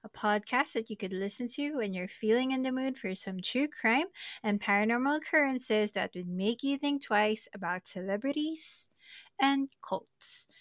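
A woman's voice: on the high side, animated, moderate pace, no echo. A young adult woman hosting a true crime podcast, narrating casually with jokey, self-deprecating asides. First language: not Portuguese. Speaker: podcast host.

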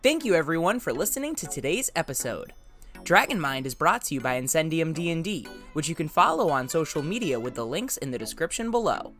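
A man's voice: on the high side, big pitch swings, fairly quick, a bit bright, soft background music. A man hosting a podcast interview in a casual, conversational style.

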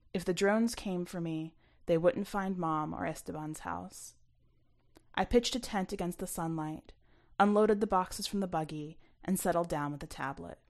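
A woman reading scripted first-person fiction aloud, expressively, with some hushed asides. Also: on the low side. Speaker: story reader.

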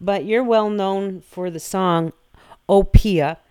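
A woman hosting a casual radio show, chatty and animated, laughing often. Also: mid-range voice, slow pace, clean tone, no room sound.

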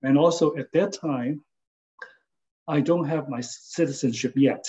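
Older man reminiscing haltingly, slightly muffled. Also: on the high side, warm tone. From Hong Kong. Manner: halting, many fillers and restarts, tags with "okay?". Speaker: interviewee, architect and former design lecturer. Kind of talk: casual spoken reminiscence.

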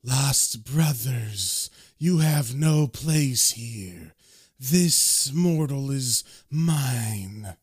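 Slow, menacing demon lord, acted by a man, imperious and archaic, dragging out each phrase for comic-book storytelling. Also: mid-range voice, expressive, slightly rough, no echo, studio quality, heavily acted. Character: amorphous nightmare demon king, slow and imperious.

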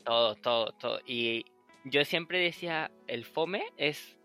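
A man's voice: mid-range voice, slow pace, sharp tone, soft background music. A young man from Chile chatting casually as a podcast host, acting out old conversations and laughing often. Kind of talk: casual chat.

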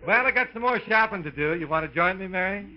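A man's voice: high-pitched, lively intonation, fast, slight background noise. An actor playing a chatty family man in a 1950s radio comedy. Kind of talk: 1950s old time radio comedy acting. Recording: muffled old radio recording.

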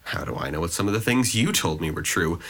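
A man playing a low-voiced, sardonic, guarded young man. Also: expressive, quick pace, fairly bright tone. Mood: probing, wry. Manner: dry, sarcastic, verbose. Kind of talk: audio drama dialogue.

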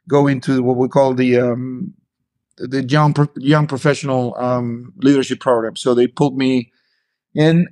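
A middle-aged man from Argentina, answering as a podcast guest in a hesitant way, full of fillers and restarts. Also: mid-range voice. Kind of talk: casual interview talk.

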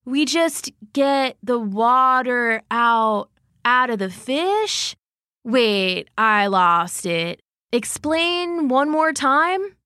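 A woman voicing Grumbles, an eager, dim male bear who cheers his friend on excitedly. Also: fairly high pitch, extremely slow, dry recording, clean studio sound, full character voice. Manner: excited, encouraging.

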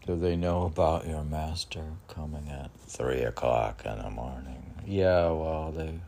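A man rambling through a podcast of chat and poetry reading, halting and often talking to himself in questions and answers. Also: extremely low pitch, gravelly, mellow, a little hiss or hum. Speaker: poet reading and chatting on own podcast.

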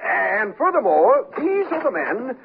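Flustered, excitable company chairman, swooping pitch. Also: measured pace, gravelly, narrow, tinny audio, full character voice. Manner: hesitant, stammering, blustery. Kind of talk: 1970s radio comedy acting. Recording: thin, noisy old radio broadcast.

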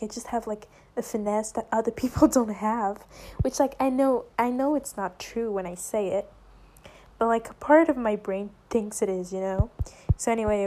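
A woman's voice: mid-range voice, mellow. A teenage girl from France, speaking English with a French accent as a second language, rambling casually into her phone for a solo podcast, often restarting her sentences. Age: teens. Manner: rambling, self-interrupting, chatty.

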